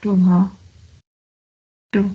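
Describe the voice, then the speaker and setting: A woman's voice: mid-range voice, little pitch movement, very slow, phone-quality sound, a little hiss or hum. A woman student giving brief replies to a teacher in an online class.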